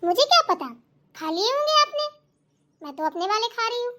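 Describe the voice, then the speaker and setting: A woman's voice: very high voice, very animated, a little fast, sharp tone, exaggerated voice. A woman playing a stubborn, put-upon young daughter-in-law in a family comedy skit, grumbling and arguing with sarcasm. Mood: dismissive, mocking.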